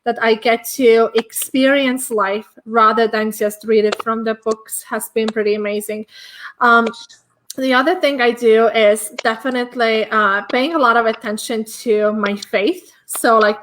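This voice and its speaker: A woman's voice: a little high, fairly even intonation, a little slow, fairly bright tone, a little hiss or hum, poor audio. A woman from Estonia, a business coach guest, talking casually with many fillers in a hotel room. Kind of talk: casual conversation.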